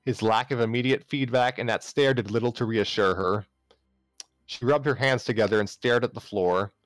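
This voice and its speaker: A man's voice: medium pitch, steady tone, normal speed, no echo. A man narrating a story aloud live, sometimes stumbling and rereading phrases. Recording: music playing behind the voice.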